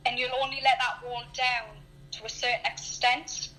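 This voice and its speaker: A woman's voice: fairly high pitch, level tone, normal speed, bright, forceful tone, poor audio. A woman in her early thirties from Newcastle with an English accent, chatting candidly and earnestly about herself. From Newcastle, England. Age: early 30s. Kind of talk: candid personal chat.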